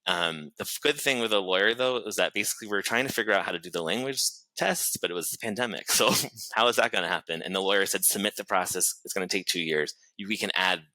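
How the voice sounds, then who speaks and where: A man with a Boston accent, a guest on a podcast, telling his story in fast, chatty talk full of fillers and restarts. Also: low voice, bright, forceful tone, no room sound, poor audio. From New Bedford, Massachusetts, USA. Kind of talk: casual interview chat.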